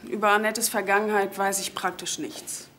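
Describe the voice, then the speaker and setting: A woman's voice: mid-range voice, flat delivery, moderate pace, a bit bright, a little hiss or hum, close to an everyday voice. A woman playing a careful, hesitant office employee giving testimony in a crime drama. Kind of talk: German TV crime drama acting.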